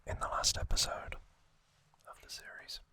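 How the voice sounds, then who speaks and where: A man whispering an ASMR narration, telling a story gently in short, hushed phrases as the host. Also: normal speed, no room sound.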